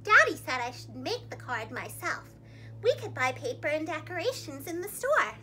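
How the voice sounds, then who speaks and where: A young woman reading a picture book aloud to small children as a storytime host, with an expressive, dramatic delivery. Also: sits high, moderate pace, slight background noise. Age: young adult. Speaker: preschool teacher and storytime host. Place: small indoor room, seated against a plain wall.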